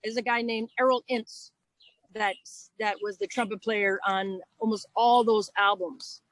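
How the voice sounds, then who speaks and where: A woman from the United States, a guest musician, chatting casually in a relaxed way with frequent ums, outdoors on a call. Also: medium pitch, a little slow, dry recording, low-quality recording. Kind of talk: casual interview chat.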